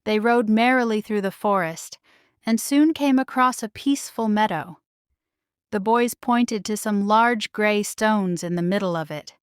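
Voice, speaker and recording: A woman's voice: medium pitch, unhurried, clear, resonant tone, no echo, studio quality. A woman narrating a children's bedtime story from a script as a storybook reader.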